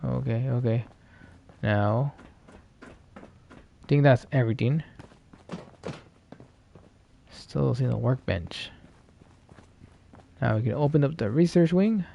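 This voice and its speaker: A man livestreaming a video game in a casual, low-key way, muttering to himself and reading text aloud. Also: slow, vocal fry, pauses often, warm tone. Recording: dull, slightly noisy stream audio.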